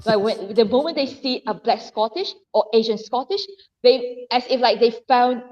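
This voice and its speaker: A woman from Malaysia with a Malaysian Chinese accent, chatting as a guest on a live stream, animated and laughing often, with many restarts and fillers. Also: on the high side, normal speed, dull audio. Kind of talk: casual live-stream chat.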